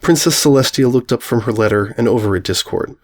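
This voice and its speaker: Guy narrating a fanfic story, scripted read, medium pitched voice. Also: fast talker. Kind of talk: scripted fan fiction narration.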